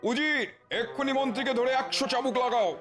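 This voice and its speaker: Shrill, imperious cartoon Nawab. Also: male voice, steady tone, a little fast. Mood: outraged. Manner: haughty, commanding. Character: imperious, menacing Nawab demanding tax. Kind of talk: Bengali comedy cartoon dubbing. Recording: music behind the voice.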